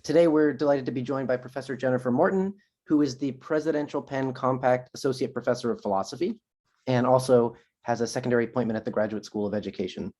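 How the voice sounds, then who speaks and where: Man, interview host, formal welcoming introduction, medium pitch. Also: quick pace, mellow.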